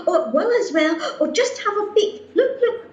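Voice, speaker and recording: An actress playing a gushing, fluttery, excitable pageant contestant in a radio play, chattering breathlessly and anxiously. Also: female voice, very high-pitched, moderate pace. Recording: muffled, echoey home recording.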